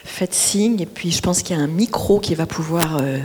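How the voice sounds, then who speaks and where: A woman moderating a panel, brisk and encouraging. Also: fairly low pitch, a little mellow, husky. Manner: brisk, with many short backchannels. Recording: reverberant hall.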